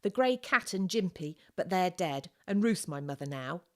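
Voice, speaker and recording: A woman's voice: fairly low pitch, animated, normal speed, a bit bright, pitched above the performer's own voice. Sullen young orphan boy, female narrator acting, blunt, cheeky, defiant. Audiobook dialogue.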